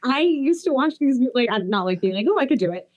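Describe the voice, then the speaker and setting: A woman's voice: high-pitched, expressive, fast, a little mellow. A woman in her twenties co-hosting a podcast, talking casually with lots of fillers and restarts. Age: 20s. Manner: rambling, filler-heavy, enthusiastic. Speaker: podcast co-host. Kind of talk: casual chat.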